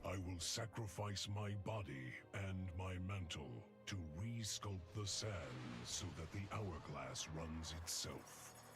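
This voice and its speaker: An actor playing a solemn, weary Lord of Time in a game cinematic, grave and measured. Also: male voice, deep voice, animated, over music. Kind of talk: scripted cinematic game narration.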